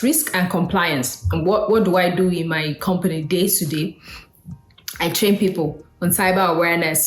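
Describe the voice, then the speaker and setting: Woman host, lowish voice, chatty with lots of ums, echoey room. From Nigeria. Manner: conversational, with fillers and asides. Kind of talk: casual solo talk.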